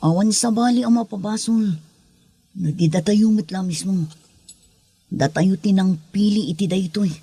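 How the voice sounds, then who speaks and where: A woman's voice: medium pitch, unhurried, pauses often, mellow, breathy edge, no room sound. A woman playing a troubled, remorseful character in an audio drama, speaking earnestly. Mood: resigned. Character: remorseful, troubled woman facing her wrongdoing. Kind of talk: amateur Ilocano audio drama acting. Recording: faint background noise.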